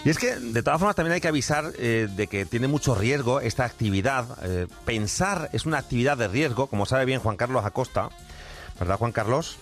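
A man with a Castilian Spanish accent hosts in a lively, swinging voice of medium pitch. His talk is casual and joking, with soft music underneath. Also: brisk. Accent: Spanish, from Spain. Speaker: radio host. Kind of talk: casual humorous radio chat.

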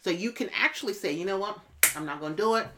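A woman's voice: mid-range voice, fast talker, fairly bright tone. A woman in a candid conversation, arguing her point assertively and firmly. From Jamaican family background. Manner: assertive, argues her point, quotes her mother.

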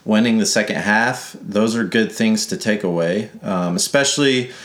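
A man's voice: on the low side, moderate pace, fairly bright tone. A young man from Mississippi co-hosting a casual sports podcast, rambling and correcting himself with a playful streak. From Mississippi, United States. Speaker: podcast co-host. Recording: echoey room.

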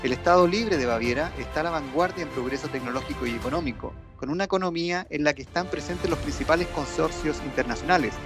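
A man hosts a podcast in a quick, formal, read-aloud manner, his voice sitting fairly high for a man. A clear music bed plays behind him the whole time. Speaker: podcast host, trade office representative. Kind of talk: scripted podcast introduction and interview questions.

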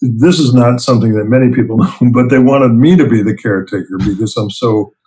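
A man's voice: medium pitch, brisk, dark tone, poor audio. A middle-aged man from New Jersey, an interview guest who tells rambling, self-deprecating stories about his work and chuckles often. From Princeton, New Jersey, US. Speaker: interview guest, theme park event writer and director. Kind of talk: casual storytelling interview.

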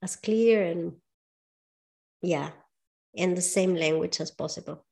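A woman with a Spanish accent speaks English as a second language in a relaxed interview. She talks about her work as a movement coach in an earnest, thoughtful way, often stopping to find the right word. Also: on the low side, slow, creaky. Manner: searching for words, thoughtful, earnest. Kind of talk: casual interview talk.

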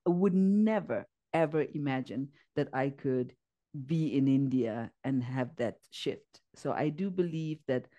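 A woman from Zimbabwe, a podcast guest telling her life story in an earnest way, often pausing and searching for words. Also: very deep voice, lively intonation, warm tone, muffled recording. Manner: earnest, searching for words with many fillers and laughs. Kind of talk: casual interview, personal story.

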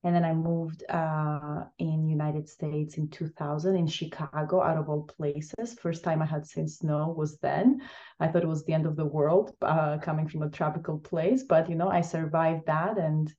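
A woman from Albania who is a guest on a podcast, telling her story thoughtfully with frequent pauses. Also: low-pitched, flat delivery, mellow, lo-fi sound. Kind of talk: interview storytelling.

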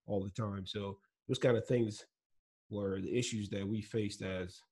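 A man from Nigeria with Igbo as his mother tongue talks through his past in a relaxed interview. His voice sits on the low side with a husky edge. He tells his stories earnestly and laughs between points. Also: a little slow. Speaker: guest. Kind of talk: casual interview talk.

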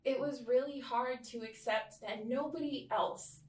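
A woman's voice: high voice, fairly even intonation, measured pace. A woman from Hawaii, California and American Samoa, telling her personal story casually as a guest, hesitant and a little self-conscious. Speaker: interview guest. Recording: echoey room, distant from mic.

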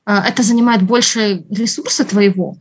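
Chatty woman with a husky voice. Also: mid-range voice, steady tone, normal speed. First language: Russian. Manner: chatty, laughs often, many restarts and fillers. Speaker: podcast guest, writer and journalist. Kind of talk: casual podcast chat.

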